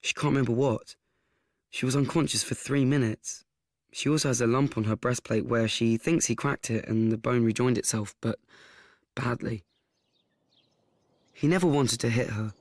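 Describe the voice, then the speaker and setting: A male actor plays a young man confiding in the listener for a radio drama. He talks in halting, conversational asides and repeats himself, frank and self-mocking. His mid-pitched voice is recorded close and dry. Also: dry recording, close to an everyday voice. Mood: frank, wistful. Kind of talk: confiding first-person radio play monologue.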